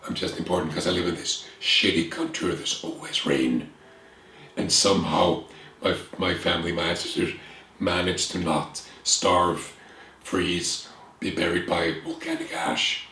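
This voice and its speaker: Deep, flat-voiced Icelandic man. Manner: earnest, reflective, pausing in short phrases. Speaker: guest. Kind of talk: candid conversation. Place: room with bookshelves and a window, at a table. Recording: echoey room with faint hiss.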